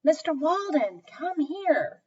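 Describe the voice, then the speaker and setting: A woman's voice: sits high, big pitch swings, slow pace, a little mellow, voice pushed up, exaggerated voice. A woman acts out a helpful, watchful storybook clown, a man with swooping, emphatic speech. The sound is somewhat dull and distant. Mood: alarmed, calling. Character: helpful, alert male circus clown.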